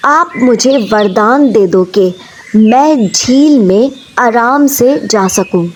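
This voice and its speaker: A woman's voice: a little high, lively intonation, slow, a little hiss or hum. A woman voicing a determined, brave little female sparrow in a cartoon story, speaking earnestly. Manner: resolute, earnest.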